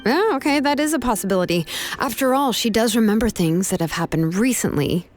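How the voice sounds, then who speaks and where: A fairly high-voiced young woman, played by an actress in a video game, cool and determined. She lays out her points deliberately and firmly, over faint music. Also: expressive, lightly acted. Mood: reasoning, firm. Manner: probing, deliberate, firm.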